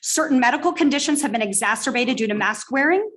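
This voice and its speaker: A woman giving a firm, pointed public comment as a parent at a school board meeting, speaking loudly into a podium microphone. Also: on the high side, expressive, fast. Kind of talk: reading a prepared public statement.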